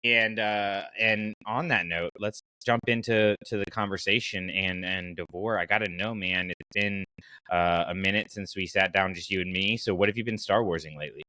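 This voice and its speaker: Male podcast host, lowish pitch, bright forward tone, dry close sound, informal and enthusiastic chat. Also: fairly even intonation, normal speed, no room sound, low-quality recording.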